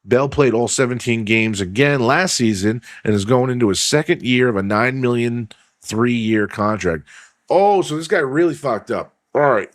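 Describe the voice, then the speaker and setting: A man hosting a casual sports podcast, rambling and swearing as he reads news aloud with his own asides, his voice going hoarse. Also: mid-range voice, no room sound, clean studio sound. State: losing his voice. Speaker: podcast host.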